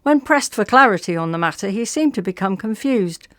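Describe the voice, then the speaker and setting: A woman playing an earnest radio newsreader, delivering a mock bulletin in a formal, measured, straight-faced manner. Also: mid-range voice, expressive, a bit bright, dry recording, clean studio sound, natural voice. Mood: formal, serious.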